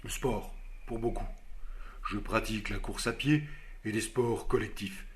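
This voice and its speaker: An actor playing a composed army officer who answers job interview questions in a measured, formal way, in a scripted learner dialogue. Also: male voice, on the low side, fairly even intonation, lightly acted. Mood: calm.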